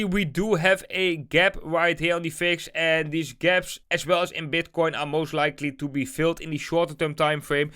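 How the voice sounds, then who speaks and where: Clear, higher-pitched man explaining charts. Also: a bit bright. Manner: addresses viewers directly and informally. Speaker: host of a trading analysis video channel. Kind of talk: market chart commentary, explanatory.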